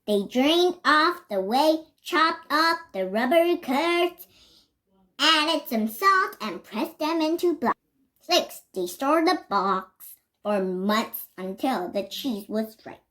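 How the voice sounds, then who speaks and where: A child reading a children's picture book aloud, carefully and a little haltingly, stressing words as they go. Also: sits high, bright, forceful tone. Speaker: reader.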